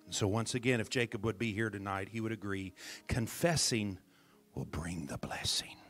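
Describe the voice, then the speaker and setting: A man's voice: lowish voice, moderate pace. A man preaching a sermon as minister at a church service, dramatic, dropping his voice to near whispers for emphasis and calling out to the congregation. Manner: calls out to the congregation.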